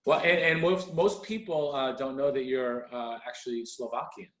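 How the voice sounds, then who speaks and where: A man's voice: mid-range voice, fairly even intonation, normal speed, fairly bright tone, reverberant. A man hosting a podcast interview and chatting enthusiastically with his guests. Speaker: podcast host and coach. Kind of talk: casual interview chat.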